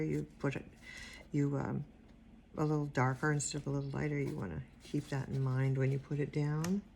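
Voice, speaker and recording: Quiet, gravelly older woman. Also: low voice, slight background noise. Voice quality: quiet soft-spoken voice. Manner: rambling, trails off and mumbles while focusing. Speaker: watercolor instructor. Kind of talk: casual painting tutorial.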